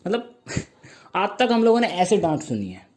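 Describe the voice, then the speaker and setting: A young man performing a stand-up comedy set, telling stories with slow mock-grand stretches and playful sound effects. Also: high-pitched, sing-song, a little mellow.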